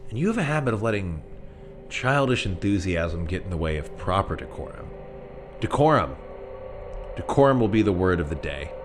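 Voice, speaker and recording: A man's voice: low-pitched, big pitch swings, normal speed, over music. A man in an audio play acting a pompous, irritable middle-aged scientist with a stern, formal, exasperated manner. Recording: noisy bed of night ambience, crickets.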